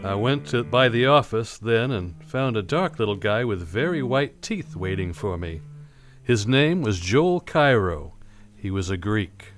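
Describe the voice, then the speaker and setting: An actor playing a cynical, wisecracking private detective in an old-time radio play, blunt, wry and clipped. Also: male voice, fairly low pitch, expressive, unhurried, over music. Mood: narrating flatly.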